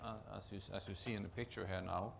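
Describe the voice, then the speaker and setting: Deep gravelly Norwegian man presenting. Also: fairly even intonation, noisy background. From Norway. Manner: halting, often restarts and repeats words. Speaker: project manager presenting at a conference. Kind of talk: conference presentation.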